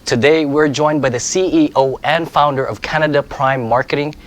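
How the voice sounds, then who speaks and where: A man's voice: a little high, fairly even intonation, normal speed. A man hosting an interview show, reading a scripted intro and then asking questions with some hesitant fillers. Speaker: host. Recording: music clearly behind the voice.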